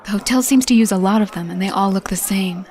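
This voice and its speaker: A woman playing a determined female thief, narrating a game cutscene in a measured, confiding, resolute way. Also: medium pitch, a little mellow, natural voice. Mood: determined, planning. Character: determined female thief narrating her stealth rescue mission. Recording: music under the voice. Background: background music.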